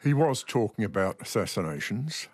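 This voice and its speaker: A man speaking as an interviewed author and commentator, deliberate and emphatic, repeating key phrases for stress. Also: lowish voice, big pitch swings, a little mellow, no echo, clean studio sound.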